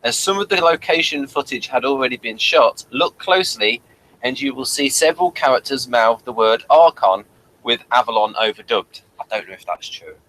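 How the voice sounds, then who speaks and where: A man with a bright, forward voice and a middling pitch presents a review in a conversational way. He reads from notes and drops in dry, wry remarks. A faint noise sits behind him in what sounds like a home recording. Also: lively intonation, normal speed, low-quality recording. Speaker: review show host. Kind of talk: conversational TV episode review, reading notes aloud.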